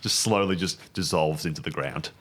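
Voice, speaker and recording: A man improvising narration as game master of a tabletop game, in a hesitant, halting way. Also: rumbling low, fairly bright tone, faint music behind.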